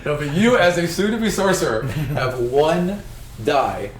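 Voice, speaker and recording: A man's voice: on the high side, animated, measured pace. A man working as a game master, explaining a tabletop game world and its rules in a conversational, explanatory way. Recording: roomy, distant mic with faint noise.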